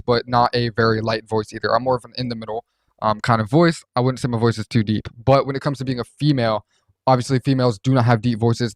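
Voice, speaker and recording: A man hosting a casual software walkthrough, explaining things in an informal, loosely rambling way. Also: mid-range voice, no echo. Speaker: host reviewing voice changer software.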